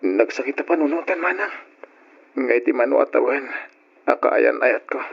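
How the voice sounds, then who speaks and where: Creaky, heartbroken young man. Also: mid-range voice, moderate pace. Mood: drunken despair. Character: heartbroken young man, drunken, self-pitying and wronged. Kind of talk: old Ilocano radio drama acting. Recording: dull lo-fi radio drama recording.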